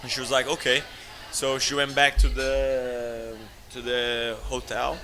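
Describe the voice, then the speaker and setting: A man's voice: mid-range voice, measured pace, noisy background. A man in his thirties from Italy with an Italian accent, a restaurant owner telling stories in a casual interview and acting out the people he quotes. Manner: animated, laughs often, acts out quoted voices. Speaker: guest, restaurateur. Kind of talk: casual storytelling.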